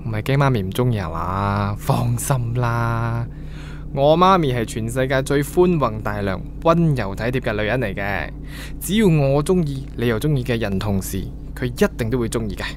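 An actor playing a chatty, earnest young adult son in a radio drama. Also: male voice, medium pitch, animated, normal speed, background noise, lightly acted. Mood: earnest, persuasive. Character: young adult son, chatty, earnest, nudging his father toward romance. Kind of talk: radio drama dialogue, conversational.

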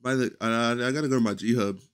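A young man with a low voice chatting loosely to his stream audience from a headset in his bedroom, rambling with many fillers and restarts. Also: steady tone, fast talker, smooth. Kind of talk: casual live-stream chat. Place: small bedroom streaming setup indoors.

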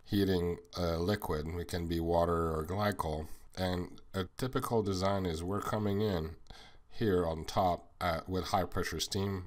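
A man from Quebec with a French-Canadian accent, French his first language, earnestly explaining a slide presentation item by item. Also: sits low. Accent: Québécois French. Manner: earnest, plain explanatory, lists items one by one. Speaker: sales manager.

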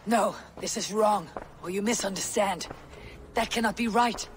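A woman voicing a proud, defiant young female Viking warrior in a video game, speaking gravely in formal, deliberate phrases. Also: medium pitch, close to an everyday voice. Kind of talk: dramatic video game cutscene acting.

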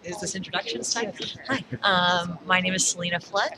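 A woman from Ontario introducing herself at a vigil, hesitant and pausing with fillers. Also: on the low side. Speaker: vigil attendee, friend of the honoree. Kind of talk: casual self-introduction. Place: outdoor square in front of city hall. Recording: outdoor noise in the background.

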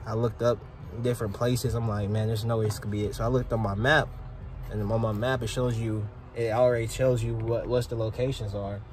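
A man's voice: mid-range voice, little pitch movement, fairly quick. A young man chatting casually and informally in slangy speech, as a technician vlogging on the job. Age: young adult. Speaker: rookie HVAC technician vlogging. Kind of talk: casual vlog chat. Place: outdoors beside air-conditioning units and vehicle. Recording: very noisy outdoor phone audio, vehicle sounds.

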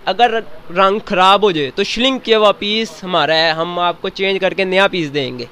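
A young man, a student and shop owner's son, earnestly pitching fabrics and guarantees into a handheld mic in a cloth warehouse. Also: normal speed, bright, forceful tone, slight background noise. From Pakistan. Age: young adult. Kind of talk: product pitch. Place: indoors, small cloth warehouse.

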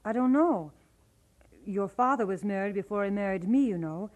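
Woman as a Scottish mother, animated voice. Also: mid-range voice, normal speed, lightly acted. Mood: plain, thoughtful. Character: homely Scottish mother of a grown lad. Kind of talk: old-time radio drama acting.

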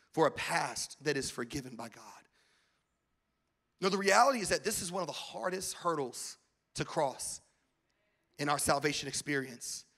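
A man in his forties preaching a sermon on a church stage with a handheld mic, swinging between shouted calls to the congregation and hushed, intimate talk. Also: fairly high pitch. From United States. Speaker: pastor. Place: large church stage with audience.

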